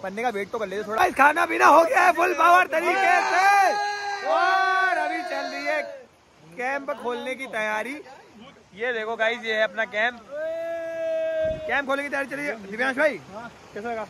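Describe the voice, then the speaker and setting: A man's voice: very high-pitched, animated, normal speed, long pauses. A man in his twenties vlogging casually to a phone held at arm's length, outdoors by a river and later in a car. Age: 20s. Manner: chatty, talks straight to camera. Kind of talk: casual vlog narration. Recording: noisy outdoor phone audio.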